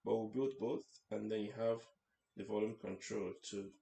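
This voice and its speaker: A man's voice: fairly low pitch, steady tone, normal speed, creaky, dark tone. A man hosting an informal hands-on electronics tutorial, explaining things step by step and checking in as he goes. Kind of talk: informal hands-on demonstration narration. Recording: roomy echo, faint background noise.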